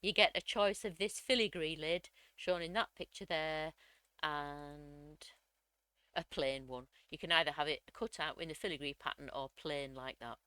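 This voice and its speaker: A woman, slightly croaky from a cold, chattily walking through a crafting software tutorial step by step. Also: low-pitched, moderate pace, no room sound. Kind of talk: screen-recorded software tutorial.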